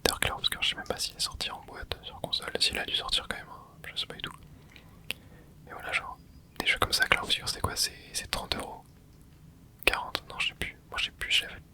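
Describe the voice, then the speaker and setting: An ASMR creator giving soft, whispered commentary while playing a video game, rambling and hesitant with lots of fillers and restarts. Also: very high voice, steady tone, a little fast. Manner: soft unvoiced whisper throughout.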